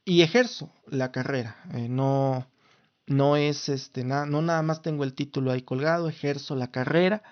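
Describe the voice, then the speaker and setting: Mexican man in his thirties, slightly high voice, formal lawyer-like phrasing, muffled podcast audio. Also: steady tone, normal speed, clear, resonant tone. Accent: Mexican Spanish. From Mexico City. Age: 30s. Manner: formal, lawyerly phrasing with frequent restarts and fillers. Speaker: podcast host. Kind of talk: casual solo podcast talk.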